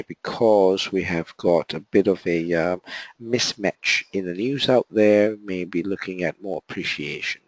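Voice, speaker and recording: A man's voice: low voice, a bit bright, poor audio. A man with a sore throat, explaining trading charts step by step in a live online session, with frequent okays and uhs. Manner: explains step by step, fills with okay and uh. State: sore throat, voice not clear or loud. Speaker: trading analyst presenting a live webinar. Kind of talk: live market analysis walkthrough.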